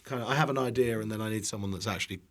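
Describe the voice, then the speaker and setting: A man's voice: lowish voice, rapid-fire. A British man chatting casually as a podcast guest, playful and self-deprecating, often rambling. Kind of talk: casual chat.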